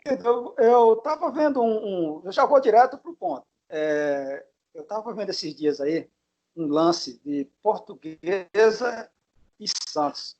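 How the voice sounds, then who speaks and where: Friendly high-voiced man interviewing. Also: animated, a little slow, a little mellow. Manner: friendly, calls guest "my dear". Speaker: interviewer, host. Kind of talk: casual interview. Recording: dull lo-fi sound.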